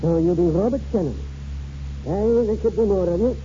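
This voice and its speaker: A man's voice: high-pitched, moderate pace, noisy background, natural voice. Actor as crusty old Scottish grandfather, muffled. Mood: gruff, wry. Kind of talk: 1940s radio acting. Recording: muffled 1940s radio recording.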